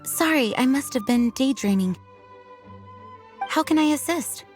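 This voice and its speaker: A woman's voice: sits high, animated, a little slow, darkish tone, faint music behind. A woman playing a dutiful, polite, weary young knight commander in a video game, speaking formally and with composure.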